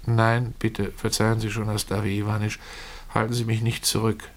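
A man voicing a timid, weepy drunkard who pleads haltingly and apologetically in a radio play. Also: on the low side, monotone, voice pushed up, close to an everyday voice. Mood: tearful, hurt. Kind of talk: German radio play reading.

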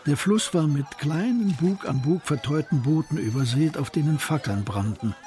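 A man narrating a scripted radio drama with a very husky, breathy voice. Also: on the high side, expressive, normal speed, warm tone, faint music behind.

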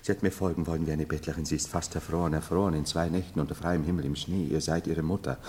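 An actor playing a theatrical, volatile medieval emperor, penitent and mocking by turns, declaiming in a 1970s radio drama that swings from hushed confiding to loud outbursts. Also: male voice, a bass voice, fairly even intonation, fast, slight background noise. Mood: pleading, agitated. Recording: long hall reverb, 1970s studio radio play.

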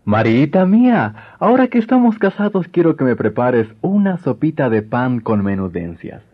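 An actor playing a doting newlywed husband in a radio drama, coaxing with sugary endearments. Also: male voice, medium pitch, very animated, moderate pace. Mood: coaxing, affectionate. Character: doting newlywed husband asking for his dinner. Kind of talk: 1990s radio drama acting. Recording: dull lo-fi radio recording, music behind.

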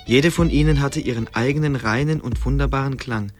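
A man's voice: medium pitch, a little fast. A man narrating a radio drama as a scripted, dramatic storyteller. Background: faint music.

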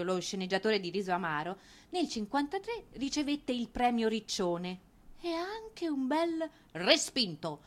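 A woman delivering a theatrical narrated monologue, ironic and expressive, with dramatic pauses. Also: medium pitch. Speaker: stage narrator and performer.

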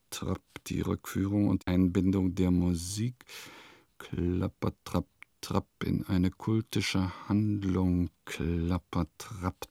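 A vain, eccentric composer in a radio play, speaking very slowly in a gravelly voice. He muses with theatrical pomp, recorded close and dry. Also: lowish voice, warm tone, dry recording. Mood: drowsy, trance-like. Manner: pompous, musing, theatrical. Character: vain, eccentric male composer musing in his diary. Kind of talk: German radio play monologue.

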